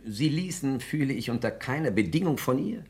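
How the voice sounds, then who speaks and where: An actor playing a high-flown, indignant gentleman intellectual, theatrical and earnest, in a 1960s German radio play. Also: animated, a little fast, close to an everyday voice. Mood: earnest, shaken.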